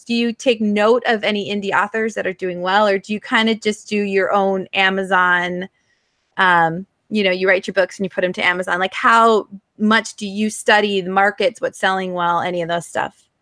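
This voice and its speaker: A woman's voice: medium pitch, steady tone, normal speed, a bit bright, no room sound. Chatty American woman with dry, close sound. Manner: informal, chatty. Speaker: podcast host. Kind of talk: casual interview chat.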